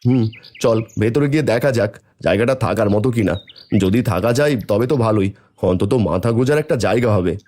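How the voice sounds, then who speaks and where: A man's voice: on the low side, animated, quick pace, natural voice. A man playing a kind, trusting, poor village shopkeeper in a cartoon, speaking earnestly. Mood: sad, resigned. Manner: earnest, gentle, plaintive when wronged. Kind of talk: cartoon dialogue acting.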